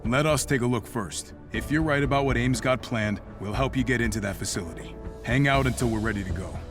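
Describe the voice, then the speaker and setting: A man playing a calm, commanding superhero team leader in a video game, measured and matter-of-fact. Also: mid-range voice, natural voice. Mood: steady, commanding. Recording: game music behind the voice.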